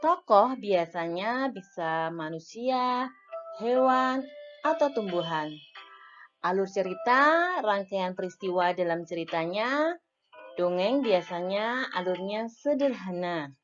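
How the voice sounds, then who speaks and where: A woman teacher explaining a lesson to young schoolchildren, counting off points one by one in a clear, deliberate way. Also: mid-range voice, expressive. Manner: slow, clear teacherly explaining with list-like enumeration. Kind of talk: recorded classroom lesson for young children. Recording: dull lo-fi audio with faint music.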